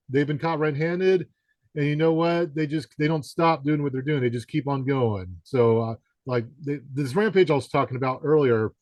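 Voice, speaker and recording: A man's voice: a little high, darkish tone, no room sound. Man interviewing in a dry, close sound. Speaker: podcast interviewer. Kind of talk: conversational album interview.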